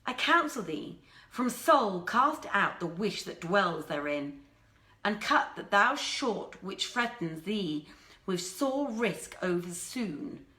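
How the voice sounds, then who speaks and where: A woman's voice: mid-range voice, sing-song, takes it slowly, pushed and bright, reverberant, heavily acted. A woman voicing a haughty young princess in a dramatic storybook reading, imperious and indignant in archaic speech. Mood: stern, threatening. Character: haughty young princess, quick to fury and scorn.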